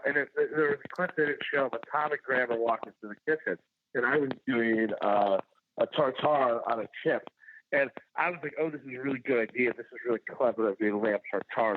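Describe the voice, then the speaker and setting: A male chef telling loose, rambling stories as a podcast guest and filling his sentences with "like." His voice sits mid-pitch and comes through thin and tinny, like a phone call. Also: slight background noise. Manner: rambling storyteller, heavy use of "like". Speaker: chef, show finalist guest. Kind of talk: casual podcast interview.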